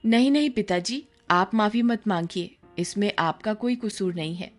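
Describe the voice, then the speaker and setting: Meek young village wife, polite and pleading, mid-pitched, for cartoon drama woman acting it out, music underneath. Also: moderate pace, natural voice. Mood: dutiful. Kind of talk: Hindi animated horror story acting.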